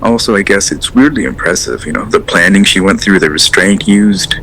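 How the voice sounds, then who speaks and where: A man's voice: deep voice, normal speed, creaky, sharp tone, slight background noise, exaggerated voice, low-quality recording. A man acting as a hesitant, rambling caller to a late radio show in an audio drama, earnest and unsettled. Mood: reflective. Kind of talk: audio drama, naturalistic phone-in call.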